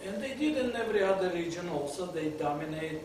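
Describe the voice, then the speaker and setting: Guy talking to a crowd, bit high voice, far off in an echoey hall, noisy. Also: lively intonation, a little fast. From Soviet Union, grew up in Kyiv. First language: Russian. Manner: joking, laughing, broken English. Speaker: guest speaker at a benefit. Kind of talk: informal talk to an audience. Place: lit stage in an arts center room.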